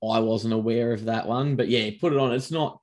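A man's voice: medium pitch, steady tone, a little fast, a little mellow. A man with an Australian accent co-hosting a podcast, easygoing and thinking aloud. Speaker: podcast co-host. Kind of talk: casual podcast chat.

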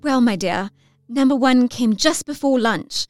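Woman playing an effusive high-voiced Victorian young lady. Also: a little slow, darkish tone, soft background music, lightly acted. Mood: confiding. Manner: effusive, girlish, dramatic. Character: excitable, romantic nineteen-year-old Victorian young lady. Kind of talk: dramatised letter reading.

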